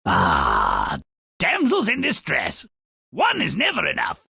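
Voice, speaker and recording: Nasty little fairy tale grump for a video game, gravelly creaky voice, super swoopy, sneering and sarcastic. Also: male voice, a little high, wildly swooping pitch, slow pace, bright, forceful tone, shouting, a little hiss or hum, full character voice. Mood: gleeful disdain. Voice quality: raspy, gravelly. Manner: theatrical, sneering, mocking, ornate vocabulary. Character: small gleefully malicious dwarf-like storybook grouch, sardonic and impatient. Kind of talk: video game character narrating dark fairy tales.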